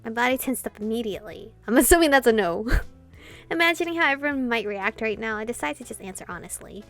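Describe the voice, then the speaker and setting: High-voiced woman doing expressive let's-play commentary. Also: fast. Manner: expressive, swearing in reactions. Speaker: host of a gameplay channel. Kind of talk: let's-play commentary with reading aloud of translated dialogue. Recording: game music behind.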